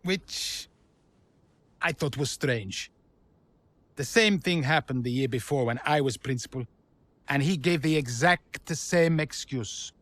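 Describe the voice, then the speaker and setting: Ex team principal in a racing game interview, mid pitch, measured, close dry sound. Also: male voice, fairly bright tone, dry recording. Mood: sceptical. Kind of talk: documentary-style interview in a game cutscene.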